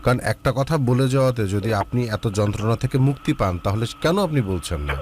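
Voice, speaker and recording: A man hosts a love advice show and talks fast, pressing a caller with blunt, impatient questions. His pitch swings widely as he argues, and a faint hiss sits under the recording. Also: animated. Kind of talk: counseling a caller.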